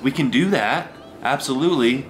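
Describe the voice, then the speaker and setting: A man's voice: mid-range voice, moderate pace, slight background noise, rough recording. A young American man chatting casually and reflectively for a vlog in a living room, talking to a camera set nearby. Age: young adult. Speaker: vlogger. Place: small warm living room indoors. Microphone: camera set a short way from him.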